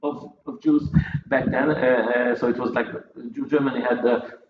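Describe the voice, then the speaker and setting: A man talking casually at a table, halting and restarting his sentences often. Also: medium pitch, fairly even intonation. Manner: halting, many restarts and repeated words. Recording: echoey, dull room sound with faint noise.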